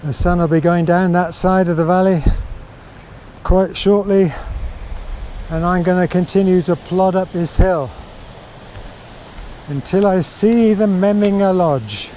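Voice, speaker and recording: Male walking vlogger, soft dark tone, casual and unhurried, thoughtful pauses, slightly noisy outdoor camera sound. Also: sits high. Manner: reflective, unhurried, halting. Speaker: hiker filming his own walk. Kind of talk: casual walking vlog narration. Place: outdoors on a mountain trail.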